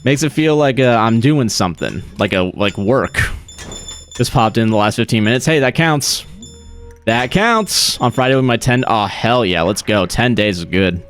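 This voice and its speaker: A male game streamer talks casually to his chat while he plays. He swears freely and laughs often between thoughts. His voice sits at a middling pitch, with faint music underneath. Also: animated, moderate pace, fairly bright tone. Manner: profane, laughs often, chatty asides to chat.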